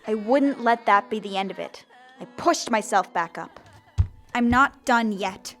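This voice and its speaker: A woman's voice: mid-range voice, measured pace, a bit bright, faint music behind, lightly acted. A woman playing a determined young zombie girl in an audio drama, earnest and theatrical, both in dialogue and first-person narration. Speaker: lead character and narrator.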